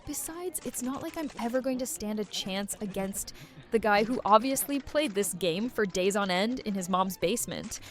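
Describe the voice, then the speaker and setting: A woman's voice: mid-range voice, expressive, moderate pace, soft background music, natural voice. A woman playing a brash, sarcastic young gamer with blunt, slangy delivery in an audio drama. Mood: exasperated, mocking. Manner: blunt, exasperated slangy talk. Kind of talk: fan audio drama acting.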